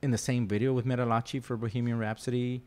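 A man's voice: medium pitch, fast. A man whose first language is Spanish, a podcast guest telling stories in casual chat and acting out other people's voices. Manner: animated storyteller who acts out other people's voices. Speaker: podcast guest, rock photographer.

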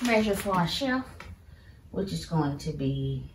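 Low-voiced woman explaining a woodworking build. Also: sing-song, measured pace, very noisy. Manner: chatty, explains each step, says "y'all". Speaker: DIY woodworking creator. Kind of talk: casual how-to narration while building. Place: home workshop, indoors. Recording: echoey workshop room.

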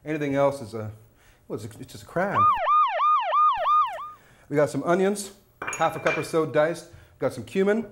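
A man's voice: a little high, lively intonation, moderate pace, long pauses, very noisy. Upbeat man hosting a cooking show. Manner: breezy, upbeat, addressing viewers directly. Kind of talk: cooking demonstration. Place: studio kitchen set.

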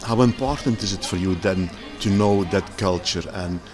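Off-camera male interviewer with a slightly low voice, stumbling delivery, background music. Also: moderate pace. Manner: stumbling, repeats words while asking. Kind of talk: interview questions. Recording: music playing behind the voice.

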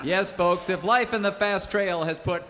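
An actor playing a hearty, folksy cowboy pitchman in a parody old-time radio commercial. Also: moderate pace, in a large hall, background noise, close to an everyday voice. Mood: folksy selling. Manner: hearty, theatrical salesman delivery.

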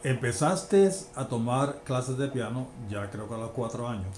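A man's voice: medium pitch, normal speed, darkish tone. A man with a Puerto Rican accent hosting a conversational podcast interview. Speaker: podcast host and producer. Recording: echoey room, faint background noise.

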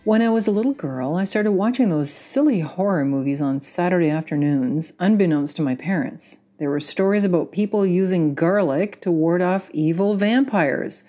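A woman's voice: on the low side, moderate pace, hardly any pauses, dark tone. A middle-aged woman whose first language is Romanian, reading a wry personal story. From Canada. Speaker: listener contributor.

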